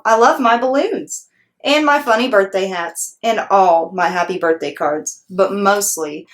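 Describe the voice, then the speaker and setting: A woman voicing a chatty, excitable six-year-old girl telling her storybook tale in an emphatic, blunt, childlike way. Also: medium pitch. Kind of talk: children's storybook read-aloud.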